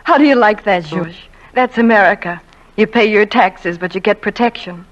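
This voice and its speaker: A woman's voice: medium pitch, normal speed, natural voice. A proud small-town wife, played by a woman, speaks coolly and with dignity, holding her hurt in. Her voice sits in an ordinary middle range. The sound is dull and lo-fi, like an old radio broadcast. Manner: composed, cool and dignified. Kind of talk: 1940s radio acting.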